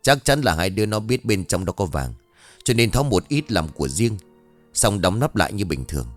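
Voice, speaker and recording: A man's voice: on the low side, very animated, dry recording, soft background music. A man doing a blunt, gossipy old fishmonger woman. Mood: gossiping. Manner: brash, scolding market talk, quick and rough.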